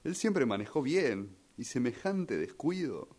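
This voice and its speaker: Un hombre con acento argentino que interpreta en un radioteatro a un hijo en duelo, dubitativo y lleno de sospechas. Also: male voice, mid-range voice, big pitch swings, a little slow, darkish tone. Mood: troubled, doubtful. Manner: hesitant, repeats phrases. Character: grieving son troubled by suspicions over his father's death. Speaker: radio drama workshop participant. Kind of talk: rehearsed radio drama acting.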